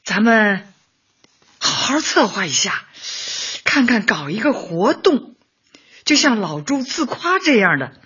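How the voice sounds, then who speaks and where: An actress playing a warm, encouraging older woman community leader who guides with gentle questions in a Chinese radio drama. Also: on the high side, big pitch swings, unhurried, slightly rough, dull audio, heavily acted. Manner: persuasive, rhetorical questions, gently guiding.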